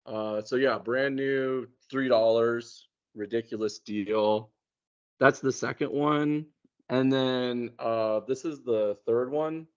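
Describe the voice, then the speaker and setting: A man in casual conversation, talking in a hesitant, halting way and often repeating words. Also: mid-range voice, smooth. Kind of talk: casual chat. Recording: muffled, dull lo-fi sound.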